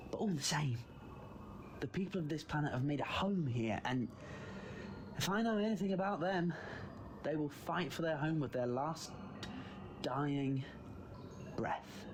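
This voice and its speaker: A man's voice: on the high side, lively intonation, moderate pace, pauses often, noisy background, natural voice. A man with a British accent playing a wry, confident time traveler in a sci-fi audio drama, theatrical and flippant. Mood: grave, defiant. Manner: flippant, theatrical, swinging from playful to grave.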